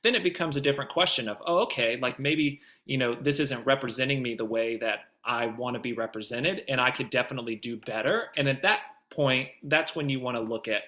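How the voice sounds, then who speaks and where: Man, mid-range and bright, forward tone. Guest expert answering questions in an explanatory, conversational way. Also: mid-range voice, fairly quick, hardly any pauses. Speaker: voice demo producer, guest. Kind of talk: advice in an online Q&A.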